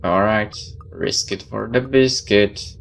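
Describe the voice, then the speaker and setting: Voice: medium pitch, slow pace. A gameplay host reading visual novel text aloud expressively and adding casual comments. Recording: clear background noise.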